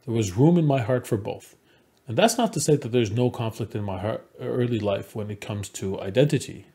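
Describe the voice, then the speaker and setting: A man in his forties from Montreal gives a prepared conference presentation in a measured, earnest storytelling manner. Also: medium pitch, dark tone. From Montreal, Canada. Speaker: conference speaker, game developer. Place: small indoor room at home.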